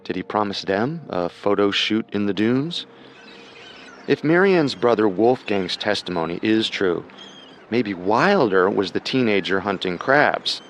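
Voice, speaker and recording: Low-voiced man narrating true crime. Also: expressive, unhurried, a little hiss or hum. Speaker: podcast co-host and narrator.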